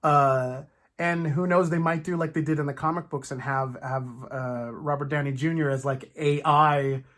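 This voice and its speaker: A man co-hosting a fan discussion in a casual, animated way, often slipping into imagined quotes as he talks. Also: on the high side, moderate pace. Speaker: co-host of a fan discussion show.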